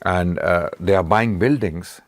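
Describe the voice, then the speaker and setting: A man with a low voice, whose native language is Urdu, presses his point in a panel discussion. He keeps repeating his questions insistently, and the recording is dry and close. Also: unhurried, dry recording.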